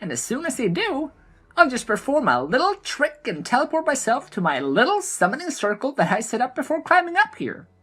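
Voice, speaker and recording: A woman's voice: high voice, huge pitch swings, fairly quick, fairly bright tone, breathy edge, pitched above the performer's own voice, heavily acted. A woman voicing a gleeful, mischievous male gnome arsonist in a fantasy audio drama, with a cocky, taunting manner.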